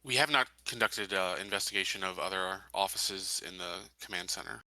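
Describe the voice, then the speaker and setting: A man's voice: low voice, a little fast, bright, forceful tone, dry recording, rough recording. A man taking part in a tabletop roleplaying game, moving between in-character lines and casual talk.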